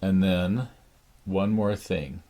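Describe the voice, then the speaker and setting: A man teaching a drawing lesson, thinking aloud slowly with many pauses, his voice raspy. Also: low-pitched, mellow. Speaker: art teacher. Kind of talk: drawing lesson, talking while sketching. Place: art studio.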